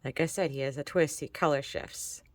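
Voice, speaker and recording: A woman's voice: deep voice, normal speed, no room sound. Congested, chatty woman crafting host. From Michigan, USA. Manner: chatty, encouraging, talks while working. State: congested from allergies. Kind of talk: casual crafting tutorial chat.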